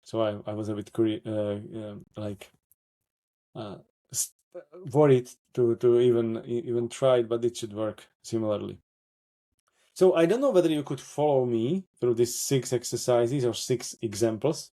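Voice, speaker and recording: Male workshop presenter, Slovak accent, dark relaxed tone, halting and full of restarts. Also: mid-range voice. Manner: halting, many fillers and self-corrections. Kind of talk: live software demo walkthrough.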